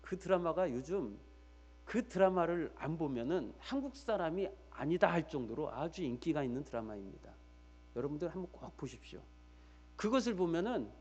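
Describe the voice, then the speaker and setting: A man's voice: fairly high pitch, a little mellow. A man preaching a church sermon as pastor, emphatically repeating key phrases and putting rhetorical questions straight to his listeners.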